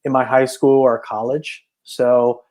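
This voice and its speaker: Reflective man from China, halting speech. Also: medium pitch, level tone, darkish tone. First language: Chinese. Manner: reflective, hesitant, many restarts. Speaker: podcast guest, filmmaker. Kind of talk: casual interview storytelling.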